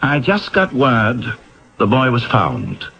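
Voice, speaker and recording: An actor playing a brisk, demanding male newspaper editor in a 1970s radio drama. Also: mid-range voice, huge pitch swings, vocal fry, pushed and bright, heavily acted. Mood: brisk, probing. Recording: dull vintage radio recording.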